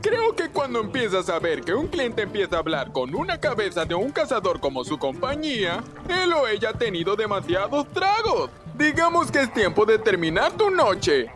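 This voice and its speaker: A man plays a cartoon bear bartender with a very sing-song, swooping delivery. He sounds calm and knowing and a bit mocking. Music plays under him. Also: sits high, moderate pace, hardly any pauses. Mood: matter-of-fact.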